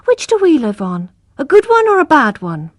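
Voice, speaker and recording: Woman doing a curious little boy, high excited questions, lively swooping voice, dry sound. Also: moderate pace, no echo. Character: young boy, eager and curious.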